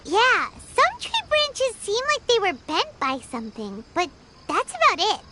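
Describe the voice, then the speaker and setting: A woman voicing a small, excitable, jumpy fairy girl companion in a video game, with a squeaky childlike voice and an exclamatory manner. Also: unhurried, background noise, heavily acted. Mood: chatty. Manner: exclamatory, refers to self in third person. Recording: music behind the voice.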